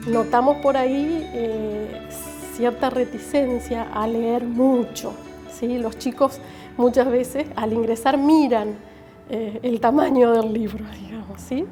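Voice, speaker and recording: A woman's voice: on the high side, fairly even intonation, slow, over music. A woman with an Argentine accent, explaining her library work in an interview. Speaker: library staff interviewee, teacher.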